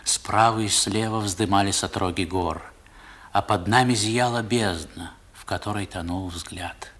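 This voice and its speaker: A man's voice: lowish voice, unhurried, fairly bright tone. A man narrating a 1960s radio play as a grown storyteller recalling boyhood, in a dramatic literary manner, on an old recording. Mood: reflective.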